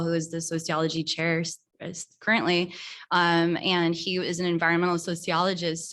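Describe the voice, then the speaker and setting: A young woman speaking earnestly on a panel, with many pauses and restarts. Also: lowish voice, a bit bright. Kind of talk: casual panel talk.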